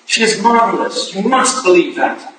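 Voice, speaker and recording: Man acting earnest Victorian husband, bright echoey voice. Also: high-pitched, expressive, normal speed. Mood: earnest pleading. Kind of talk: staged reading of a period stage play. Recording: echoey hall, distant mic, hissy noise.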